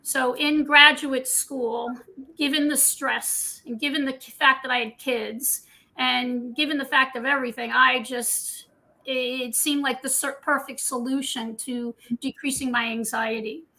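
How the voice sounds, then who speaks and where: Bright, high-voiced older woman telling her story. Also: fairly even intonation, unhurried, low-quality recording. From Scotland. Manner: candid, chatty storytelling. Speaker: guest dietitian. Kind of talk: casual interview chat.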